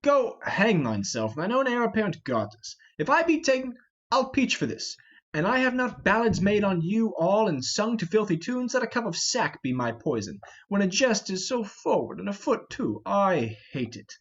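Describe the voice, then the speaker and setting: A man's voice: high-pitched, very animated, moderate pace, a bit bright, dull audio, exaggerated voice. A man voicing a blustering, grumbling, fat older knight and thief in an amateur reading of a Shakespeare play. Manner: exclamatory, complaining.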